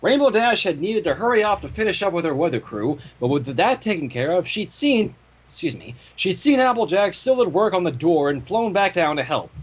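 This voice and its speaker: A man reading fan fiction aloud in a theatrical storytelling style, with casual asides and a husky voice. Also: high-pitched, very animated, brisk.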